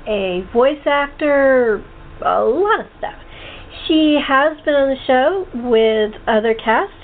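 Over a thin, phone-like connection with faint noise, a woman with a fairly high voice hosts a casual chat show. She speaks haltingly, often stopping and repeating her words as she goes. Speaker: host of a talk show. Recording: muffled tinny phone line with faint noise.

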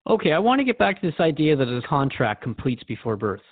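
A man's voice: fairly high pitch, sing-song, quick pace, no echo, narrow, tinny audio. A man playing a thoughtful, inquiring radio host, conversational and reflective as he interviews in a radio drama. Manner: reflective, questioning.

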